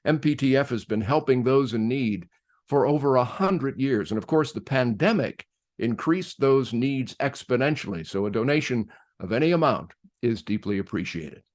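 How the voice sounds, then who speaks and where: A man hosting, reading a scripted introduction to a radio play in a measured, emphatic announcer-like way. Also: medium pitch, animated, a little mellow.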